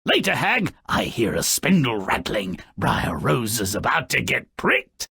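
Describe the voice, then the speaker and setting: An actor playing a small, malicious, sardonic storybook grouch in a video game, raspy and gravelly, narrating dark fairy tales with theatrical, sneering mockery. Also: male voice, medium pitch, wildly swooping pitch, unhurried, no echo, heavily acted. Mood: sardonic storytelling. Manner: theatrical, sneering, mocking, ornate vocabulary.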